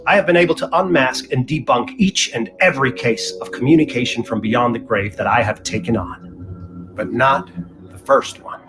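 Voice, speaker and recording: An actor playing a grave, driven magician who investigates the supernatural, in an audio drama. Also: male voice, medium pitch, normal speed, music in the background, close to an everyday voice. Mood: grave, determined.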